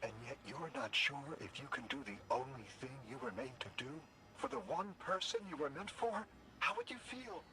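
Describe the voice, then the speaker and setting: An actor voicing a devoted, anguished machine companion in a game, with an earnest, emotional, theatrical delivery. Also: male voice, a little high, sing-song, normal speed, rough recording. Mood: anguished, bitter.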